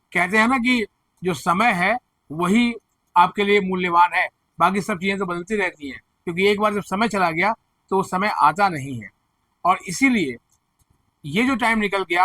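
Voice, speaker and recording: A man with a hoarse throat presenting education news, explaining admission figures and earnestly reassuring students and parents. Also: high-pitched, pushed and bright, dry recording, rough recording. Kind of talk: direct-to-camera advisory commentary.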